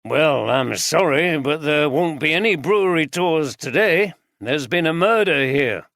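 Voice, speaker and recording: A man voicing a calm, polite police detective who speaks in a measured way. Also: fairly high pitch, vocal fry, dry recording, studio quality, pitched above the performer's own voice, full character voice.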